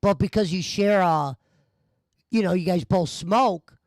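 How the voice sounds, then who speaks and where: Chill guy in his 40s hosting a late-night video call, kinda high voice, dry close sound. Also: measured pace, dry recording. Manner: laid-back, loose storytelling. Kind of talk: casual late-night chat.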